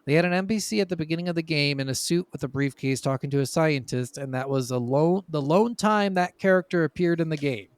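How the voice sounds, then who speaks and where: A man with a fairly high voice talks casually as a podcast host, recorded very dry with no room sound. He retells things from notes like an animated storyteller, often chuckling as he goes. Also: fast talker, few pauses, no room sound.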